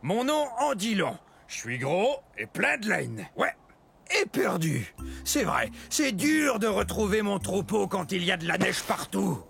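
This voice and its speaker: A big woolly mammoth from a children's cartoon, chats playfully with the viewer in a high, swooping voice. He is friendly and good-humored even though he is lost, and music plays underneath. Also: a little slow, gravelly, exaggerated voice. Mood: cheerful, explanatory. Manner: talks playfully to the viewers, exclaiming. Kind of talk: animated children's educational cartoon narration.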